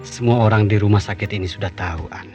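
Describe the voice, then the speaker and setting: An actor playing a persistent, teasing hospital colleague and suitor in an Indonesian radio drama, familiar and needling. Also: male voice, on the low side, fast, darkish tone, close to an everyday voice. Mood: needling, flirtatious. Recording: dull radio recording, clear music behind.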